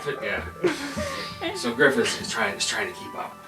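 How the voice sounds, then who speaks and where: A man's voice: very high-pitched, wildly swooping pitch, measured pace. A man speaking casually as a player in an improvised tabletop roleplay. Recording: echoey, distant mic, faint music behind.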